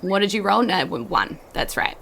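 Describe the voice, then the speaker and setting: A woman with a New Zealand accent, improvising narration as game master of a tabletop game, with halting, drawn-out phrasing. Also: medium pitch, lively intonation, creaky, pushed and bright, a little hiss or hum.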